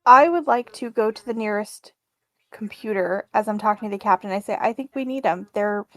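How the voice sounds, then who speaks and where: A woman's voice: medium pitch, fairly quick, dry recording. A woman speaking casually as a player in a tabletop roleplaying game, improvising her character's actions.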